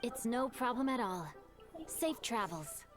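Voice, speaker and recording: A woman's voice: on the high side, lively intonation, unhurried, soft background music. A woman voicing a bookish, chivalrous teenage boy in a game, polite, eloquent and reflective. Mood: courteous, reflective. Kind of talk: scripted game dialogue.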